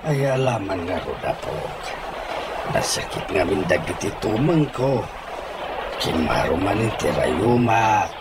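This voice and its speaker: An actor playing a frail, grateful old grandfather in an Ilocano radio drama, speaking in a halting, weary way. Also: fairly low pitch, animated, heavy background noise. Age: older.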